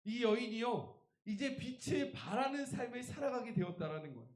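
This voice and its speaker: A man's voice: very high voice, expressive, brisk, roomy sound. A man preaching a revival sermon as a pastor, emphatic and putting rhetorical questions to his congregation. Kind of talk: Korean church revival sermon.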